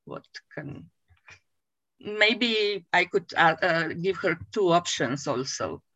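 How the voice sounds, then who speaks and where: A woman coachee, whose first language is not English, speaking hesitantly with many pauses and uhs. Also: lowish voice, animated, pushed and bright, lo-fi sound. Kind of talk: mentoring session.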